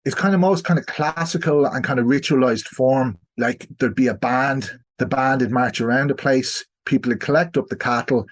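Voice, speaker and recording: A man with an Irish accent, a historian guest explaining history on a podcast in a measured way, with hedges and asides. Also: medium pitch.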